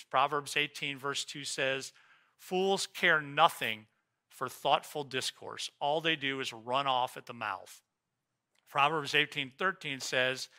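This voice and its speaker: An older man preaching a sermon from a church pulpit, swinging between loud emphatic lines and soft, quiet ones. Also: medium pitch. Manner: animated preaching, rising loud for emphasis then dropping soft. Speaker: pastor. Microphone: pulpit microphone.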